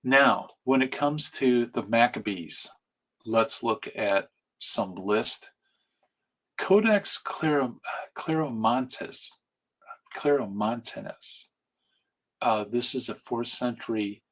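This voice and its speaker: A man talking quietly and soothingly as he lectures on a live stream from home at night. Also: mid-range voice, rough recording. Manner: hushed, soothing delivery. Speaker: streamer teaching on Bible canon. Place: home at night, family asleep nearby. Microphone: streaming mic.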